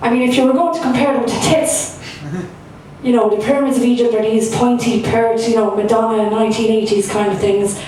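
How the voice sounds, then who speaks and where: A woman's voice: a little high, steady tone, brisk, darkish tone, a little hiss or hum. Irish woman doing stand-up in an echoey club. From Ireland. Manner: chatty and self-mocking, filler-heavy delivery. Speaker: stand-up comedian. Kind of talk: stand-up set. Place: comedy club stage. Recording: echoey room, from far off.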